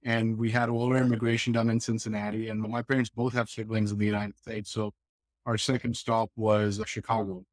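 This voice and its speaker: A man in his thirties from Pakistan, a podcast guest telling his life story in a reflective, steady way with frequent restarts. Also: on the low side, fairly quick, dry recording. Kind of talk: casual interview storytelling.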